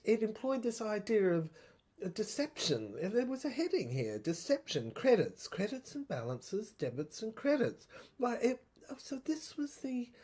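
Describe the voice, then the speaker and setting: A woman acting a halting, husky, troubled soldier. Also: fairly high pitch, darkish tone, exaggerated voice. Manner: halting, repeats and stammers, thinking aloud. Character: troubled soldier piecing together a dead professor's secret diary. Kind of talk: dramatic first-person monologue in an audio drama.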